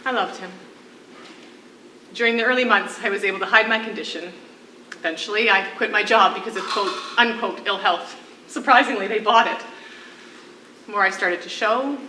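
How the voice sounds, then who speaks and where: A woman acting in a stage play as a defiant condemned prisoner and devoted mother, speaking in earnest, deliberate phrases. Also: mid-range voice, pushed and bright, natural voice. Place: theatre stage, live performance. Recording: echoey stage recording with faint noise.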